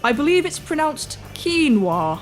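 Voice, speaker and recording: A woman's voice: sits high, sing-song, measured pace, faint music behind. A woman playing a timid, chatty young servant with a nervous manner in an audio pantomime. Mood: curious.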